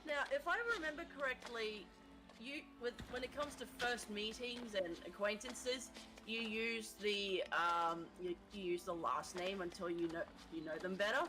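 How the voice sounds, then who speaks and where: A woman chatting casually on a stream, rambling and halting, dropping in Japanese phrases. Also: medium pitch, music in the background. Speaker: co-streamer guest. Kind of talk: casual chat.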